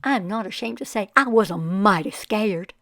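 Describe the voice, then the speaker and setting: A woman voicing a folksy, wonderstruck farmer who recalls a magical childhood summer in expressive storybook narration. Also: mid-range voice, moderate pace, no echo, clean studio sound. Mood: awed, scared. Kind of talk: storybook first-person narration.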